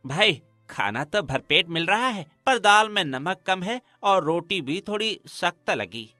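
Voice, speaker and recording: A man's voice: high voice, very animated, unhurried, bright, forceful tone, no echo, faint music behind, heavily acted. A man voicing a gossipy, grumbling villager in a cartoon. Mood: mildly complaining.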